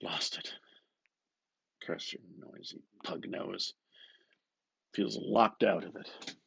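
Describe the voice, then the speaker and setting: Husky, slow male literary reader. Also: fairly low pitch, fairly even intonation, no echo, lo-fi sound. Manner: dramatic, musing, dropping into hushed murmurs. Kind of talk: expressive literary audiobook narration.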